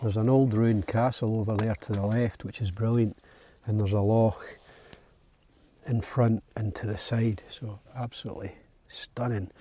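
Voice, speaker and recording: A Scottish man talks casually to camera at an outdoor campsite. His voice is mellow and husky, and he takes his time, chatting informally as he goes. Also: fairly low pitch, fairly even intonation, a little slow. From Scotland. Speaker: camping vlogger.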